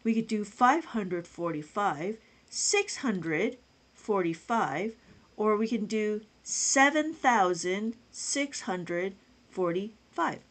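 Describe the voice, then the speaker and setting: A woman's voice: medium pitch, animated, takes it slowly. A woman teaching abacus math on a live stream, chatting with viewers, greeting them by name and counting numbers aloud.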